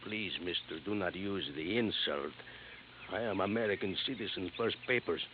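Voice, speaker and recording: An actor playing an eager immigrant gardener who speaks broken English with earnest pride, in a 1950s radio drama. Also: male voice, deep voice, moderate pace, lightly acted. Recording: tinny lo-fi old radio broadcast with faint hiss.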